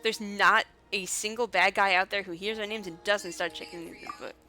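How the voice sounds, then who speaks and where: Woman putting on a plucky bright-voiced adventurer sidekick. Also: medium pitch, steady tone, normal speed, soft background music, lightly acted. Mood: indignant, boastful. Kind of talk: fantasy game dialogue read aloud.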